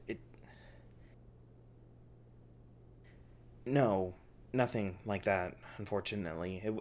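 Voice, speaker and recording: A man playing a shaken, traumatized researcher in an audio roleplay, speaking in a halting, stammering monologue. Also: fairly low pitch, close to an everyday voice. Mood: shaken, dazed. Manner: halting, stammering, faltering delivery. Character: shaken, traumatized male researcher recounting torment. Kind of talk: ASMR roleplay acting, intimate monologue.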